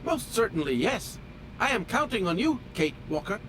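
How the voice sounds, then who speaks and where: A man's voice: sits high, very animated, normal speed, a bit bright, slight background noise, poor audio. A male actor voicing a fussy, polite automaton train engineer in a video game, with formal, precise, pedantic diction. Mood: prim, pedantic. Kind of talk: video game character dialogue.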